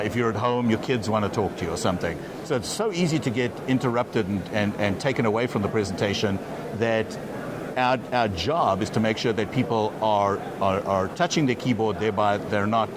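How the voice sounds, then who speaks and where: Low-voiced man repeating words, noisy floor. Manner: halting, repeats words at starts of phrases. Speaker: company executive guest. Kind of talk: trade show interview. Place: trade show floor.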